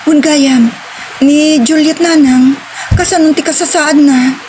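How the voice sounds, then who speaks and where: A woman's voice: sits high, steady tone, a little slow, darkish tone, slight background noise. A woman with a Filipino accent playing an emotional young woman in love in an audio drama, with a sweet, emotive delivery. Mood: worried. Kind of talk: romantic audio drama acting. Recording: music under the voice.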